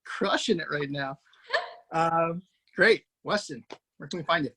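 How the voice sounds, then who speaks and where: A man hosting a casual video-call chat after a table read, easygoing and quick to laugh. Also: fairly high pitch, bright, forceful tone.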